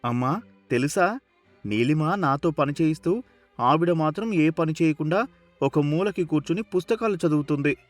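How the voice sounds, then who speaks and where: Guy doing a childish village husband, voice pushed up high, whiny and naive, bit of music behind. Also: lively intonation, moderate pace, dry recording, natural voice. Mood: sulky. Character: childish, simple-minded, clingy young village husband.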